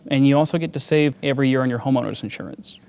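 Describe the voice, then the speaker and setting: A man speaking as an expert guest, giving an interview-style sales pitch. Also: rapid-fire, vocal fry, darkish tone, long reverb tail. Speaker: home security expert guest.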